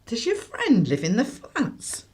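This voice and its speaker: An actress performs a guarded, easily flustered mother in a radio play. She fusses and apologises. Her voice is fairly high and very animated in pitch. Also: unhurried, breathy edge, full character voice. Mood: guarded, apologetic. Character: flustered, guarded British working mother of young boy. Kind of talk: radio drama acting.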